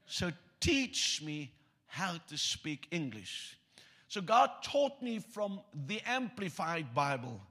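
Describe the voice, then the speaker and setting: Husky-voiced Afrikaans-accented preacher man. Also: a little high, sing-song, slow pace, a bit bright. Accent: South African Afrikaans. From South Africa. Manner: joking, self-deprecating asides. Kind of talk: sermon with scripture reading.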